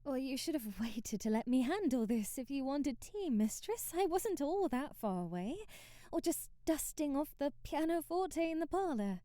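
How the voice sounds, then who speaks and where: A woman's voice: sits high, lively intonation, normal speed, warm tone, no room sound, studio quality. A woman playing a timid, devoted young maid in an ASMR roleplay, speaking formally and deferentially with hesitant stammers and period-drama phrasing. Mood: flustered, eager.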